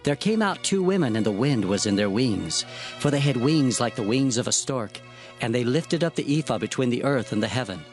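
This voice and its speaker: A man playing a biblical prophet who recounts his visions in an earnest, measured, declamatory scripture narration. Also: mid-range voice, animated, soft background music, close to an everyday voice. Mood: awed.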